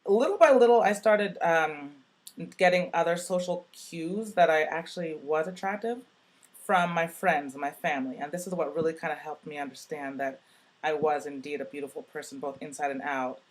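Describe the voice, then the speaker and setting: A woman with a deep voice casually telling a personal story in a recorded message to a youth project, in a relaxed, chatty way full of fillers. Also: normal speed. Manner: chatty, relaxed, many "like" and "you know" fillers.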